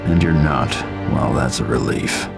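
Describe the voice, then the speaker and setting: A hard-bitten antihero for a game cutscene. His voice is extremely low and full of gravel, delivering terse, menacing sarcasm in deadpan over faint music. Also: unhurried, heavily acted. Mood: dry sarcasm. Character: grim vigilante antihero, sardonic hard-bitten adult man. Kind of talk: video game cutscene acting.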